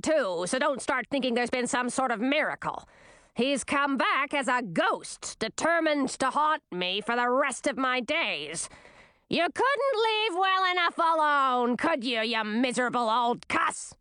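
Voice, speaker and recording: A woman's voice: high voice, big pitch swings, measured pace, bright, forceful tone, smooth, no room sound, studio quality, pitched above the performer's own voice, exaggerated voice. Woman doing Olavine, a screechy old queen. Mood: scornful. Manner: snappish, contemptuous scolding. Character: shrill, scornful old queen, bitter.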